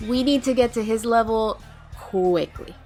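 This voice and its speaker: A woman's voice: on the high side, a little slow, warm tone, faint music behind. A woman playing a breezy young party-goer in an audio drama, dry and casual. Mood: breezy, teasing.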